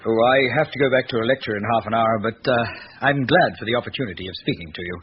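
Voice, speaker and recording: A man's voice: medium pitch, very fast, close to an everyday voice. A man playing an eager, polite young aristocratic student in a radio drama, earnest and forthcoming. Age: young adult. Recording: dull old radio recording, faint noise.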